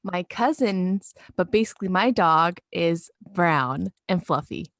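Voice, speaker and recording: A woman's voice: on the low side, takes it slowly, no room sound. A young woman with an American accent, chatting casually and informally as a podcast co-host, giggly in manner. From California, United States. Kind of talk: casual chat.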